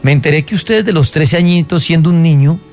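A man's voice: on the high side, fairly quick, a little mellow, soft background music. A man hosting a conversational radio interview, speaking colloquially and often tossing in short questions.

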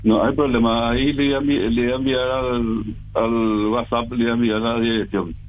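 A man's voice: medium pitch, level tone. Rambling male caller on a tinny phone line. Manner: slow, rambling, full of hesitations. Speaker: radio caller. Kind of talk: casual phone chat.

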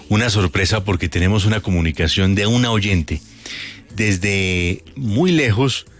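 A Colombian man hosting a late-night radio show, playful and hesitant with many fillers. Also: on the low side. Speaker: radio host.

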